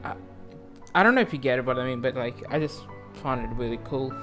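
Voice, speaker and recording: A man doing casual, rambling live reaction commentary on a game, excitable and prone to swearing and saying "like". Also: medium pitch, fast talker, soft background music.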